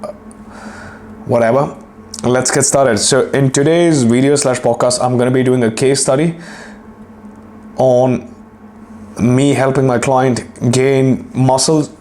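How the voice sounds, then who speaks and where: A hoarse young man hosting a solo fitness podcast, rambling and swearing casually while clearing his throat. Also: mid-range voice, steady tone, slow, pauses often, a little mellow, a little hiss or hum. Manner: profane, rambling, self-deprecating. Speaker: podcast host and fitness coach.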